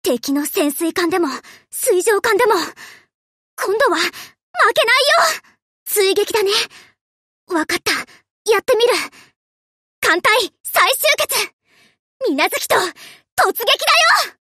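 A woman's voice: extremely high pitch, lively intonation, slow pace, pauses often, pushed and bright, no echo, studio quality, full character voice. A woman voicing a cheerful, affectionate, boyish young ship girl in an anime game, with a playful, casual tomboyish way of talking. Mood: determined, spirited. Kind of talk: anime game character voice lines.

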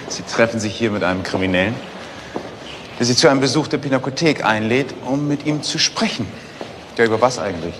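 An actor playing a suave, cynical career criminal with eloquent, ironic phrasing in a German crime drama. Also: male voice, medium pitch, lively intonation, brisk, background noise, lightly acted. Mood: amused. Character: suave, cynical male career criminal, self-assured and unrepentant.

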